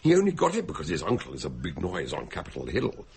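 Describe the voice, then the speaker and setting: Husky old detective doctor, radio play, gravelly n theatrical, swoops all over the place. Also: male voice, low-pitched, wildly swooping pitch, quick pace, full character voice. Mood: genial, chatty. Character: elderly eccentric detective doctor, genial storyteller. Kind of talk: radio drama acting and narration.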